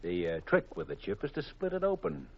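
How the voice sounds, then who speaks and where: Casting a calculating criminal colonel, lowish voice, deliberate, coolly threatening, dull old radio recording. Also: male voice, huge pitch swings, a little hiss or hum. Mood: gloating. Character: smooth-talking male villain posing as colonel, menacing, gloating. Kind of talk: 1940s-50s radio mystery acting. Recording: muffled vintage radio recording.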